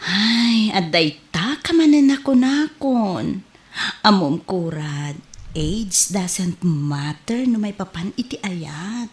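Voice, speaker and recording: A woman's voice: medium pitch, expressive, slow. A woman acting a lovestruck, hot-tempered woman in an Ilocano radio comedy drama, with an Ilocano accent and scolding delivery. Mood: coaxing, flirtatious. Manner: melodramatic, scolding. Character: Linda, lovestruck, hot-tempered woman courting an old man.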